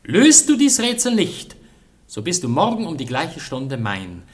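A man's voice: on the high side, wildly swooping pitch, measured pace. A man playing an ardent, noble young prince in love, declaiming in a classic radio drama. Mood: bold, defiant. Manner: declamatory, impassioned. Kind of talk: classic German radio play acting.

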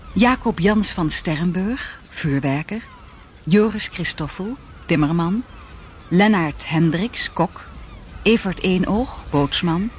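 The formal narrator of a seafaring radio play. His voice is high for a man and slow, reading deliberately over faint noise. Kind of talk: scripted radio drama narration.

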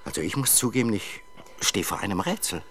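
A man's voice: lowish voice, animated, fairly quick, fairly bright tone, a little hiss or hum. A man acting as a courteous, fussy and precise camera importer in a 1970s German crime radio play. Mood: baffled. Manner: polite, eager, meticulous.

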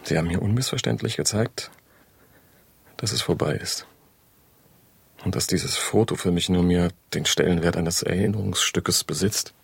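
A man's voice: deep voice, normal speed, creaky, long pauses, husky, no echo, exaggerated voice. An actor in a radio drama playing a brooding, pleading husband obsessed with his estranged wife, insistent and reproachful.

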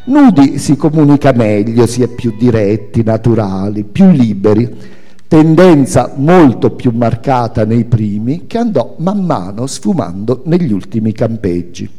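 A man giving an expressive, theatrical reading of a literary memoir, telling it deliberately like a storyteller. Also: mid-range voice. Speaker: reader at a spoken-word event with music. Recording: long hall reverb, music underneath. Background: music.

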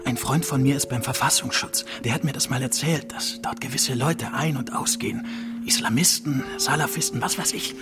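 A man's voice: mid-range voice, normal speed, close to an everyday voice. An actor playing an informal, bumbling undercover policeman in a radio drama. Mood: breezy. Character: chatty, bumbling male undercover police officer. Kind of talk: naturalistic radio drama dialogue. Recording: loud music behind.

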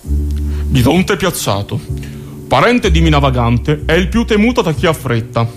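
A man's voice: a little high, big pitch swings, measured pace, faint music behind. A man playing an emphatic, mock-exasperated storyteller in a comic radio theatre monologue. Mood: mock-ominous. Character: exasperated male storyteller describing maddening slow drivers.

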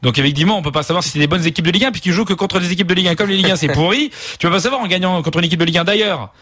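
A man giving an ironic, mock-serious football comedy chronicle on live radio, talking in rapid banter. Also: high voice, a bit bright, dry recording, clean studio sound. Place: radio studio.

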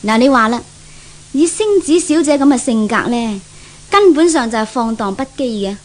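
A frank, gossipy maid in a 1970s radio play. She speaks in a high voice with a Hong Kong Cantonese accent, grumbling like a long-suffering servant. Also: animated, moderate pace. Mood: gossipy, disapproving. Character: outspoken, gossipy maidservant who grumbles about her mistress.

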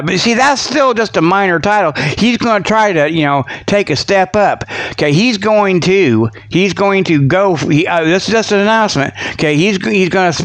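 A man's voice: fairly high pitch, a bit bright. A man hosting a comedy show with rambling mock announcements in a folksy, colloquial, repetitive and digressive way. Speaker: show host.

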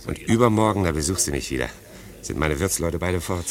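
Low-voiced patronising lover. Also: male voice, expressive, a little fast, a crowd in the background. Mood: cajoling. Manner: wheedling, patronising. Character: cocky, cajoling male fiancé, careless and condescending. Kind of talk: German radio play acting.

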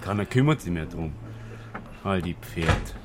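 Deep-voiced, earthy Austrian blacksmith. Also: male voice, animated, unhurried. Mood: plain-spoken, rueful. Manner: colloquial, plain-spoken. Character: simple, earthy Austrian blacksmith, weary of war. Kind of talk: naturalistic radio drama dialogue. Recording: vehicle noise behind.

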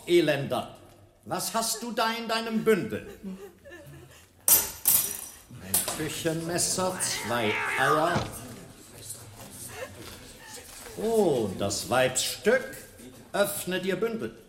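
An actor playing a sanctimonious, learned medieval inquisitor in a German radio drama, pompous, theatrical and sneering. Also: male voice, mid-range voice, a bit bright, echoey room, slight background noise. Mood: triumphant, bullying.

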